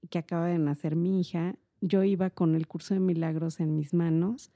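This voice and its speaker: A woman's voice: fairly low pitch, steady tone, normal speed, mellow, dry recording. A middle-aged woman with a Mexican accent, explaining things in a reflective, meandering and informal conversational way. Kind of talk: casual conversational explanation on a podcast.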